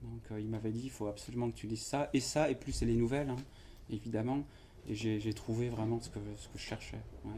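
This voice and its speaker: A man, an author, talking casually about his writing in an interview at a bookshop event, relaxed and halting, often pausing with fillers. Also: medium pitch, a little hiss or hum. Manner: relaxed, halting, fills with "voilà" and "ouais". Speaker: author at his book launch. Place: bookshop event before an audience.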